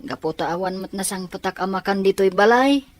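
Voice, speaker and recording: A woman's voice: medium pitch, fairly quick, natural voice. A woman playing a polite, earnest schoolchild in an Ilocano audio drama, speaking respectfully. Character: polite, grateful schoolchild living with widowed father.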